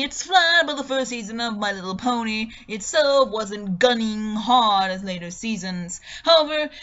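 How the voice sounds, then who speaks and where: High-voiced woman reading dramatically, dull recording. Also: fairly bright tone. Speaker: dramatic reader. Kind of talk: dramatic reading of a written online review.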